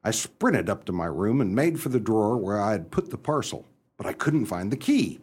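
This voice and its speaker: An actor playing a flustered upper-class young English gentleman in a radio play, hesitant and bumbling, narrating his own scrapes in old-fashioned slang. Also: on the low side, sing-song, moderate pace, breathy edge. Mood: wry, exasperated. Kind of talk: radio play storytelling and dialogue.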